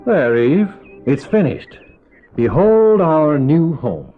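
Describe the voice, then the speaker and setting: An earnest biblical patriarch in an old audio drama, solemn and theatrical. His pitch swings widely as he counsels and admonishes, on a muffled cassette recording with music behind. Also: big pitch swings, takes it slowly. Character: Adam, earnest, stern biblical father and husband.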